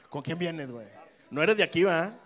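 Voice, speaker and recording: A middle-aged man with a Mexican Spanish accent doing stand-up crowd work on a handheld mic, brash and teasing, swearing and bursting into shouts. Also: fairly high pitch, animated, a little slow. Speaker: stand-up comedian working the crowd. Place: small comedy club stage with live audience.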